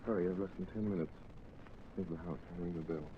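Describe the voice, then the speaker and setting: An actor playing a calm, wise gentleman detective in a 1940s radio drama, measured and reflective. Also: sits low, slight background noise, close to an everyday voice. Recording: tinny old radio broadcast.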